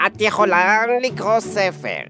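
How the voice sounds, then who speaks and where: A man's voice: high voice, very animated, sharp tone, faint music behind, exaggerated voice. A man voicing a meek, sleepy, easily offended male cat in a whiny, timid, grumbling way. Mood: wary, grudging.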